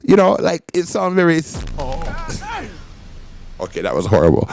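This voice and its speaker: A man's voice: on the high side, wildly swooping pitch, moderate pace, gravelly, a little mellow, faint music behind. A man born in Jamaica and raised in Canada, hosting a reaction show in emphatic commentary, repeating phrases for stress, with a Canadian accent with Jamaican touches. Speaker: host.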